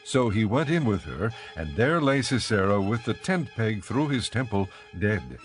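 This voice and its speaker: A man's voice: lowish voice, very animated, normal speed, soft background music. A man reading as the narrator of a scripted, dramatized scripture audiobook.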